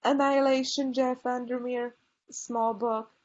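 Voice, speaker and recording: Polish-accented woman giving a lively talk. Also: on the high side, a little slow, muffled recording. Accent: Polish and Chicago. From Poland. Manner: enthusiastic book recommendations with frequent fillers. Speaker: librarian presenter. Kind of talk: conference presentation.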